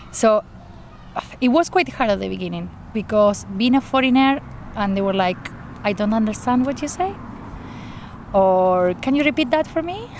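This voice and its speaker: A woman's voice: mid-range voice, a little hiss or hum. A woman from Argentina with an Argentine Spanish accent, a garden coordinator telling her story in an outdoor interview, earnestly and with light laughs. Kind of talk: interview, personal account.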